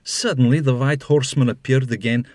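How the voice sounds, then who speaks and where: A man acting as the measured storyteller of a fairy-tale audio drama, reading scripted narration. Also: mid-range voice, steady tone, a little mellow, a little hiss or hum, close to an everyday voice. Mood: narrating.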